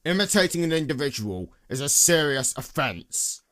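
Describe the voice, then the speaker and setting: A man's voice: fairly high pitch, sing-song, moderate pace, fairly bright tone, no room sound. A man voicing a stern pony guard in an audiobook, official and commanding, with curt formal delivery. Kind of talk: fan fiction audiobook dialogue.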